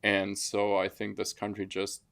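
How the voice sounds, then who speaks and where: A man's voice: on the low side, completely flat delivery, unhurried, gravelly, a bit bright. A man from Germany with a German accent, telling personal stories casually as an interview guest. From Frankfurt, Germany. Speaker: interview guest, construction business founder.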